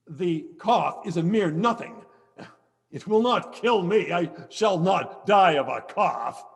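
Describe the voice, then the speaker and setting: A male actor as a proud, tipsy carnival reveler, stubborn and dismissive in a theatrical period piece. His high voice drags slowly and rings in a long reverb. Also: lively intonation, vocal fry, exaggerated voice. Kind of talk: theatrical period drama acting over video call. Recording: long reverberant echo, music behind.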